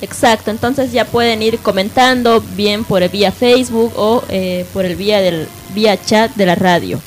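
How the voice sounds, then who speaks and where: A woman's voice: mid-range voice, normal speed, slight background noise, low-quality recording. A woman co-hosting a live radio programme in a conversational style. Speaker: radio co-host.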